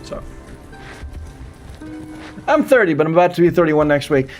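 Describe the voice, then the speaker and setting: A man's voice: a little high, big pitch swings, moderate pace, soft background music. A man in his thirties chatting casually as a live streamer, rambling and often repeating his phrases. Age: 30s. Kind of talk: casual chat with viewers while gaming.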